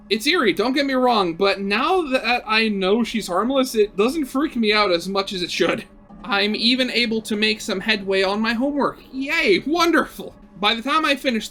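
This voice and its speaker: Man, high pitch, bright forceful tone, gaming host, casual read-aloud with rambling asides, background music. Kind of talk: reading a visual novel aloud with casual commentary.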